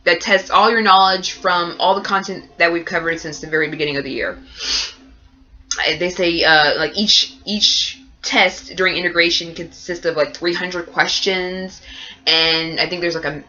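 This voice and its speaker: Stuffy nasal woman with a cold rambling on, lowish voice, muffled lo-fi audio. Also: pushed and bright. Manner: chatty, rambling with many fillers. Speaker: nursing student vlogger. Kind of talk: casual vlog update.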